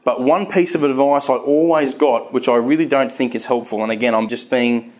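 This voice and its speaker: A man's voice: medium pitch, steady tone, a little mellow. Earnest man with a stutter. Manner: earnest, candid, halting restarts. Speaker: speaker at a faith talk. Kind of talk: personal talk to a live audience.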